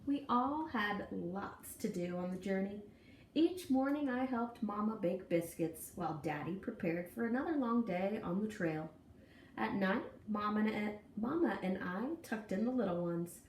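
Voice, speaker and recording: A woman reading a children's story aloud in an expressive, folksy, deliberate way with dramatic emphasis. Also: mid-range voice. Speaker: storybook reader. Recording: echoey room, distant mic, faint noise.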